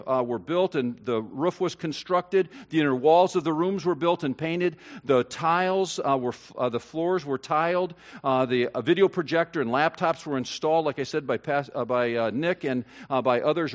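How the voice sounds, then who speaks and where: A man preaching a sermon as pastor, earnest and halting, with many fillers and restarts that give way to emphatic high points. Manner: earnest, rising to emphatic peaks.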